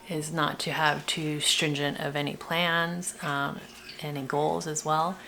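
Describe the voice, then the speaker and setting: A middle-aged woman talks in a low, creaky voice. She thinks aloud in a casual, halting vlog style. Faint noise sits behind her words. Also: fairly bright tone. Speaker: homeschooling parent and video blogger. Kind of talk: casual reflective vlog chat.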